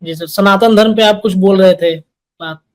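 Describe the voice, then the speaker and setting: High-voiced male news interviewer. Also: normal speed. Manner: deferential, addresses guest as sir, frequent acknowledging murmurs. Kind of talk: political interview questioning.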